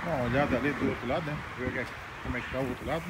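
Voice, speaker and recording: A man's voice: medium pitch, rapid-fire. A middle-aged Brazilian man narrating a casual walking vlog outdoors on a handheld phone. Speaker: vlogger. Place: outdoors by a roadside building. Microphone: handheld phone at arm's length. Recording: very noisy outdoor wind and traffic.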